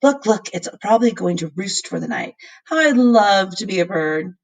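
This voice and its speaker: A woman's voice: medium pitch, animated, moderate pace. A woman voicing an enthusiastic, kindly older male professor who explains and exclaims in old-fashioned phrases. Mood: awed, exclaiming.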